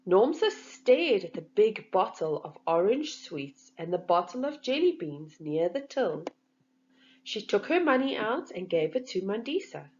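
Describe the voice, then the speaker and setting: A woman reading a children's story in an expressive, deliberate way for young listeners, on a slightly dull recording. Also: medium pitch, a little hiss or hum.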